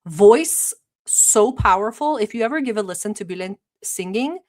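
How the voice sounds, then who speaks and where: A woman's voice: medium pitch, expressive, moderate pace, darkish tone. A woman from Turkey who hosts a livestream, chatting casually and rambling with many filler words, sometimes bossy and sarcastic toward her chat. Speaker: livestream reaction host.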